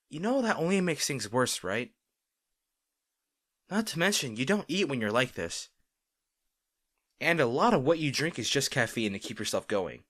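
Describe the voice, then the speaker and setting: A worried, loving boyfriend acted by a man in an intimate audio roleplay. His medium-pitched voice rises and falls a great deal as he earnestly pleads with an overworked partner. The delivery is emphatic and caring. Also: fairly bright tone. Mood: worried, pleading.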